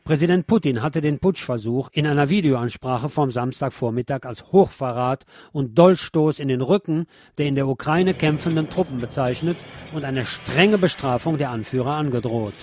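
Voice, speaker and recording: A man presenting a news programme, reading commentary aloud in a measured, formal way. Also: mid-range voice, a little mellow, slight background noise.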